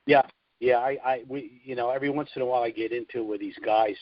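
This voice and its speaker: A man's voice: mid-range voice, steady tone, quick pace, no echo. A middle-aged man talks as the host of a call-in conference. His delivery is informal and chatty, like someone telling stories. The audio is dry and muffled, a lo-fi conference line. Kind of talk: casual chat and storytelling.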